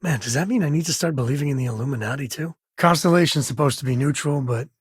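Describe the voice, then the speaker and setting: A man playing an easygoing space-frontier ranger and devoted dad in a video game, with a husky, slightly rough voice and a folksy, wry manner. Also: mid-range voice, fast talker, no room sound, clean studio sound. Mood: relaxed, wry. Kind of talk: video game character dialogue.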